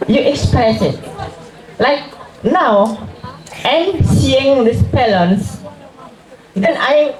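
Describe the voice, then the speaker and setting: A woman's voice: a little high, a little mellow, echoey room, poor audio. A woman who grew up speaking English as a guest speaker at a school, explaining carefully with repeated examples. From Turkey. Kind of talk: school language talk. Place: school hall.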